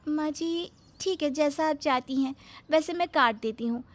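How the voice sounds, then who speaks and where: A woman's voice: moderate pace, close to an everyday voice. A woman voicing an eager, overly obedient young daughter-in-law who talks sweetly and takes everything literally. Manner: sweet, literal-minded, high and singsong.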